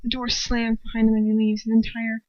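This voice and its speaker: A woman's voice: on the high side, completely flat delivery, a little fast, dry recording. A woman reading a story aloud as an amateur narrator, in a dramatic first-person style. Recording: dull lo-fi home recording.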